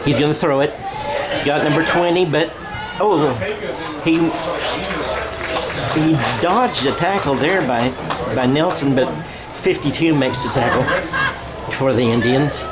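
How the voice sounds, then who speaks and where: An American man calling a football game radio-style in a conversational, halting way, often correcting himself. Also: a little high. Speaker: play-by-play sports commentator. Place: outdoor high school football game. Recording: crowd noise behind the voice.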